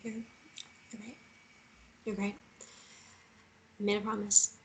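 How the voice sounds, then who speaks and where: A woman's voice: mid-range voice, level tone, slow, low-quality recording. A woman playing a wounded, lovesick young woman in a dramatic screenplay reading, emotional and pressing, faltering when shaken. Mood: subdued. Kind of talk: dramatic table read of a romance screenplay. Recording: clear background noise and ambience.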